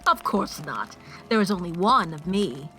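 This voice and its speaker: A woman's voice: on the low side, lively intonation, measured pace, bright, forceful tone, poor audio. A haughty changeling queen acted by a woman in an audio drama. She schemes and boasts, talking down to others with condescending assurance, over faint background music. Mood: teasing, condescending. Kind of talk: fan audio drama acting.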